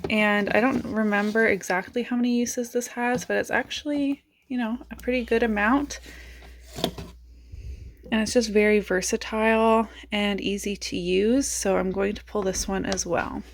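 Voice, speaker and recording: A woman beauty vlogger chatting casually about her makeup, raspy as she loses her voice and thinks aloud in a self-mocking way. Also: medium pitch, steady tone, normal speed, a little hiss or hum.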